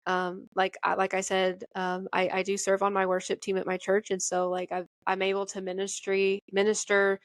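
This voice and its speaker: A woman in a band, answering an interview question about her faith in an earnest, hesitant way with many pauses and fillers. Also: mid-range voice, monotone, no room sound. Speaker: band member and church worship team singer.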